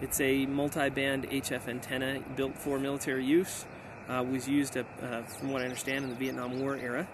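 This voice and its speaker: A man in a casual hands-on demonstration, talking in an unhurried, explanatory way as an amateur radio operator outdoors. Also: mid-range voice, completely flat delivery. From United States. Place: outdoors on a mountainside. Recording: noisy outdoor camera recording. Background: outdoor ambient noise.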